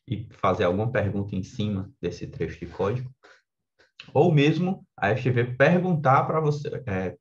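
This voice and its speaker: A man's voice: fairly low pitch, muffled recording. A man with a Brazilian accent giving an informal explanatory lesson as an exam coach, conversational and often tagging his points with little check-in questions. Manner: conversational, frequent tag questions seeking agreement.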